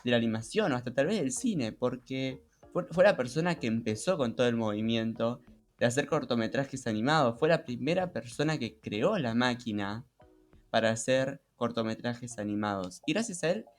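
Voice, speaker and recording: A man's voice: medium pitch, animated, moderate pace. Male co-host for a chatty podcast, Rioplatense Spanish accent, mid-range voice, conversational delivery, light background music. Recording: music behind.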